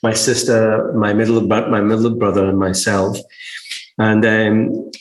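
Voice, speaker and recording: Man, soft Scottish accent, slightly low voice, casual storytelling, frequent rephrasing, roomy echo. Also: little pitch movement, measured pace. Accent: softened Scottish. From Glasgow, Scotland. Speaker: podcast guest, entrepreneur. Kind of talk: casual personal storytelling in an interview. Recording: echoey room sound.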